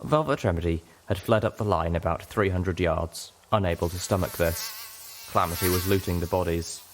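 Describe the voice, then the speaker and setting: A man's voice: deep voice, moderate pace, a little hiss or hum. A man reading a scripted first-person story as an audiobook narrator.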